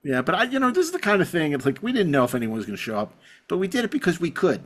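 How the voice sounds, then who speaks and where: A man hosting a casual chat show, speaking haltingly and repeating his phrases. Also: fairly high pitch, very animated, fairly bright tone. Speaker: podcast host.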